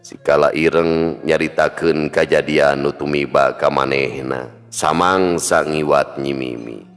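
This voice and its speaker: A man's voice: very deep voice, steady tone, a little slow, a bit bright. A man with a Sundanese accent narrating a scripted folk tale as a storyteller. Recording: long reverb, music behind.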